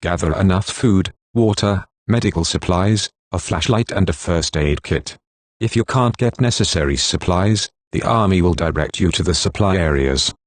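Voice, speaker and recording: A man playing a stiff, formal, measured correspondent and emergency alert announcer, reading scripted bulletins. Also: sits low, expressive, no room sound. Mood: formal, grave.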